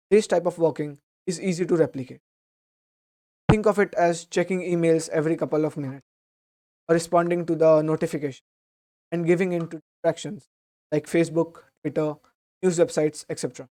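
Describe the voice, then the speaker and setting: A young man, slightly hoarse from a sore throat, explaining a book summary in a steady, earnest way. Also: on the high side.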